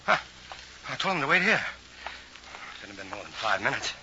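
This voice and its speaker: A man's voice: medium pitch, big pitch swings, fairly quick. An actor playing a desperate scientist urgently searching for a lost woman in a mid-century radio drama. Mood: agitated. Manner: urgent, anguished, calling out names. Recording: noisy, dull old radio recording.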